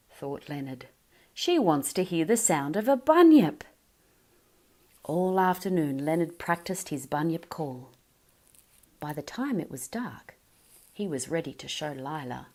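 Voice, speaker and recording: Woman reading a kids' picture book out loud, slow and sing-songy, voice goes up and down a lot, whispers the spooky bits. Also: sits low. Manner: expressive storytelling, whispers for suspense. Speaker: narrator. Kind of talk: picture-book read-aloud.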